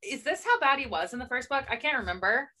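Young woman, bright voice, sarcastic, chatty book talk, mid pitch. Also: expressive, quick pace. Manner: chatty, sarcastic, mimics characters. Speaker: podcast co-host. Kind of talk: casual chat about books.

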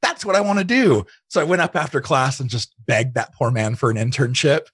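Guy with a husky voice chatting in an interview, telling his story, close dry sound. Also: mid-range voice, fairly quick, a bit bright, dry recording. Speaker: interview guest, digital marketing entrepreneur. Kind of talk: casual personal storytelling in an interview.

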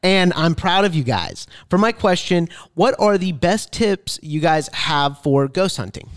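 A man's voice: sits high, animated, unhurried, no room sound, studio quality. A man hosting a podcast, reading out questions with slangy, casual banter. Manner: informal, slangy.

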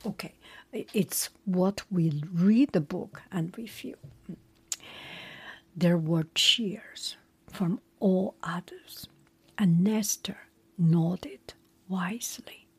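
A woman, not a native English speaker, whispering a relaxed chat and reading a story aloud with many hesitations. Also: lowish voice, drawn-out words, long pauses, husky.

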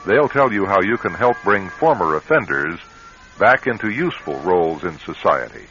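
Deep, animated male radio announcer. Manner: measured, formal. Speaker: radio drama host and announcer. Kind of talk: scripted narration. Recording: muffled old radio broadcast, faint music.

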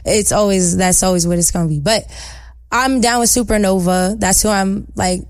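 A young woman singer-songwriter with a soft voice, chatting in an upbeat, slangy, bubbly way as an interview guest. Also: mid-range voice, moderate pace, no room sound, clean studio sound. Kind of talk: casual interview chat.

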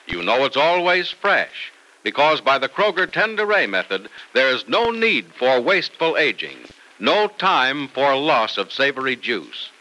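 Bright, animated vintage radio announcer man. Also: a little high, poor audio. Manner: emphatic, polished delivery. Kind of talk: 1940s-50s radio announcing, sales pitch.

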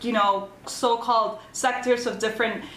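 A woman's voice: on the high side, fairly even intonation, normal speed, fairly bright tone. An American woman from the Chicago area, talkative and passionate, chatting casually as an interview guest. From Chicago area, United States. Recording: roomy echo, clear background noise.

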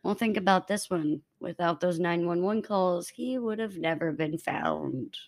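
Woman, podcast co-host, casual true-crime chat, emphatic, matter-of-fact, lower voice. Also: measured pace, creaky.

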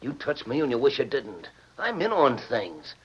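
Husky, animated outlaw henchman. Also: medium pitch, normal speed, heavily acted. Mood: protesting, indignant. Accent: American. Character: crooked gang henchman, blustering, cocky, boastful outlaw. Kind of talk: old-time radio western acting. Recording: dull old radio recording.

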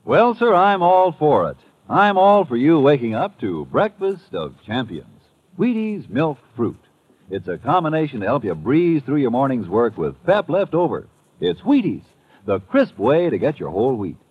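A man's voice: mid-range voice, sing-song, normal speed, a little hiss or hum. An American man delivering a folksy, emphatic hard-sell commercial in 1950s radio style. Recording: very muffled old radio recording.

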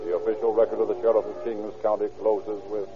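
A man's voice: fairly low pitch, little pitch movement, fast. A man narrating a vintage radio crime drama in a formal, scripted documentary style. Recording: muffled old radio broadcast, music behind. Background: music.

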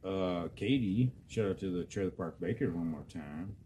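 Deep-voiced man, casual podcast host. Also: lively intonation, moderate pace, warm tone. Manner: swears freely, stumbles over names. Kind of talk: casual profane chat, reading out listener responses. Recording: noisy background.

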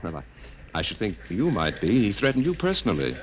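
An actor playing a mild, conscientious middle-aged scientist in a classic radio drama, speaking with hesitant, genteel earnestness. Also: male voice, lowish voice, huge pitch swings, brisk. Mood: uneasy. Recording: noisy old radio recording.

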